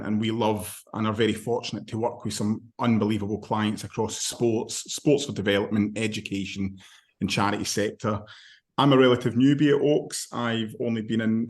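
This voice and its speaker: Scottish man, broad accent, webinar host, even flat delivery, video call. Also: lowish voice. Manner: apologetic, steady, level delivery. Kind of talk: hosting an online panel.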